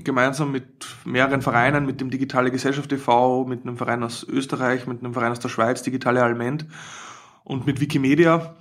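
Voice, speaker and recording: A man's voice: medium pitch, little pitch movement, fast. Austrian man explaining his work in conversation. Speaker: interview guest, academic.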